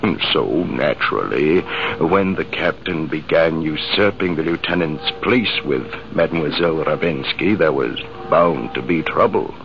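An actor playing a male army major who tells the story in a reflective, measured way, in 1950s radio drama style. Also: very deep voice, creaky, full character voice. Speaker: narrator. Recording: hissy, muffled old radio broadcast.

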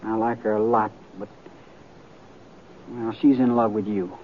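A man's voice: fairly low pitch, level tone, a little slow, close to an everyday voice. Guy doing a plainspoken young american cowboy, blunt and reserved, tinny old radio western. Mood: blunt, subdued. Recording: tinny vintage radio, faint noise.

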